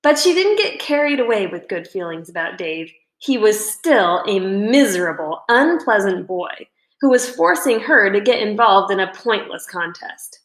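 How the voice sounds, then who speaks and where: A woman reading a children's book aloud as a storyteller, in expressive scripted narration. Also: mid-range voice, moderate pace. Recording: roomy indoor echo.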